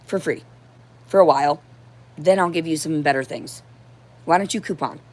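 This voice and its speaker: A woman with a hoarse voice giving a rambling, unscripted livestream monologue in a confrontational, profane and disjointed manner. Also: deep voice, moderate pace. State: hoarse throat. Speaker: livestream host.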